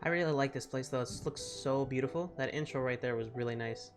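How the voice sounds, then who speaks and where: A woman's voice: extremely low pitch, quick pace. A woman giving casual, chatty let's-play commentary as she reacts informally to a video game. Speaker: gaming streamer. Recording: loud game music underneath. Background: game music.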